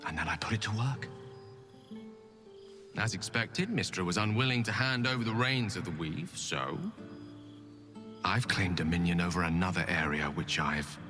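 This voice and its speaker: A man acts a mortal wizard turned god in a fantasy video game. His voice is on the low side, eloquent and theatrical, full of smug ambition, with music clearly playing underneath. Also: normal speed, pauses often, natural voice. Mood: smug, lofty. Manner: pompous, theatrical, precise. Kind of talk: video game character acting. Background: clear background music.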